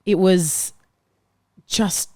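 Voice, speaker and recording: A woman with a slightly low voice gives her views in a casual football chat. Her delivery is colloquial and emphatic. The sound is close and dry. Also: fairly even intonation, drawn-out words, darkish tone, dry recording. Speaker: football podcast panellist and fan.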